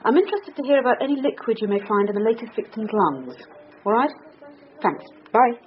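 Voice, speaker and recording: A brisk, wry female detective. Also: on the high side, big pitch swings. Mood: brisk, matter-of-fact. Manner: brisk, dry, probing. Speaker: police detective leading a murder inquiry. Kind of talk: naturalistic radio drama acting. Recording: dull, noisy radio drama recording.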